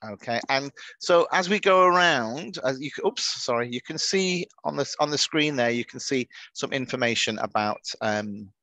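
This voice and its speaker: A man's voice: medium pitch, expressive, moderate pace, bright, forceful tone, no echo. A man hosting an online teachers' webinar in a friendly, chatty way, often restarting his sentences, heard over a video call. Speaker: webinar moderator.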